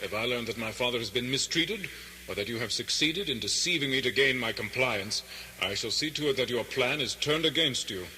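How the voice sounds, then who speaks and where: An actor playing a clever, defiant outlaw prisoner in a radio drama, sardonic and challenging, with reverb around the voice. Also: male voice, mid-range voice, animated, brisk, pushed and bright. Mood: threatening. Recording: reverberant hall sound, faint noise.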